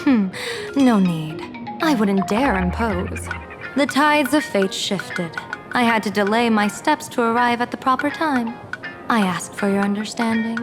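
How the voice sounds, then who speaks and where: A woman playing an enigmatic, playful seer strategist in a video game, speaking in a measured, musing, theatrical way with pauses. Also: on the high side, lightly acted. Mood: courteous. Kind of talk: English video game voice acting. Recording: music under the voice.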